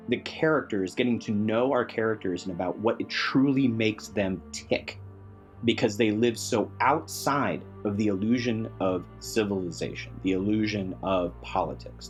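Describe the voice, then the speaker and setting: Male podcast guest, low register, casual but earnest and emphatic, light music bed. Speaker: podcast panelist. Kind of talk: casual game discussion.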